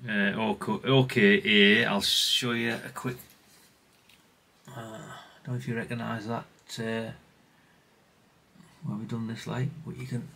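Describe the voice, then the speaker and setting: A man's voice: lowish voice, level tone, slow pace, creaky, long pauses, bright, forceful tone, breathy edge. A middle-aged British man giving a casual, unscripted hands-on demonstration as a hobbyist repairer, rambling, self-deprecating and mildly sweary. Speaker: hobbyist radio repair presenter.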